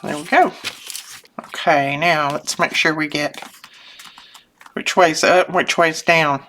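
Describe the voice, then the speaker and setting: An older woman with a notably low voice chats in a homey, rambling way as she crafts at her desk, often breaking off to chuckle. Her voice sounds a little thickened from sinus trouble. The recording has clear background noise and small clicks. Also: fairly even intonation, slow pace, fairly bright tone. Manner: chatty, homey, self-interrupting, chuckles often. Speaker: craft channel host. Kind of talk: casual crafting chat while working.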